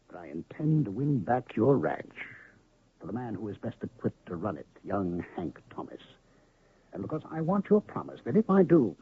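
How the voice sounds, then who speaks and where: A man's voice: fairly low pitch, breathy edge, full character voice. An actor playing a mysterious male medicine man and illusionist in an old radio drama, speaking grandly and theatrically. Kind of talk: 1970s radio drama acting. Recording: lo-fi phone-band old radio recording.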